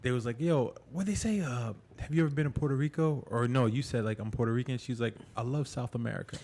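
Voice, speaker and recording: A man's voice: medium pitch, a little mellow. A man co-hosting a podcast in casual chat, laughing often and swearing. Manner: laughing, swearing, quick interjections. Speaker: podcast co-host.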